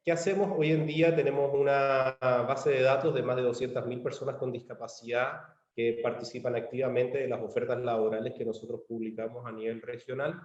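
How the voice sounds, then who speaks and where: A man from Chile with a Chilean accent gives a measured online presentation, pausing on fillers and restarting phrases. His voice sits in a middle range. It comes through a dull, lo-fi video-call line from a roomy space. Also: medium pitch, flat delivery, a little mellow. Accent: Chilean Spanish. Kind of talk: virtual conference presentation. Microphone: computer mic on a video call.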